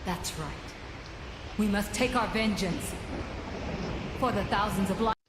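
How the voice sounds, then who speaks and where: Actress as vengeful young woman, high, slow and deliberate, accusatory, dramatic game acting, reverberant with ambient noise. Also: natural voice. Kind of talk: video game cutscene acting. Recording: echoey game cutscene with long reverb, noisy ambience.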